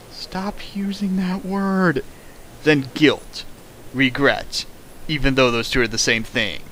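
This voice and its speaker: A man's voice: on the high side, fairly bright tone, a little hiss or hum, rough recording. A young man reading a bad fanfic aloud and riffing on it with sarcastic, exasperated rants. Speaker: fanfic riffer. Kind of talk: comedic dramatic reading and riffing.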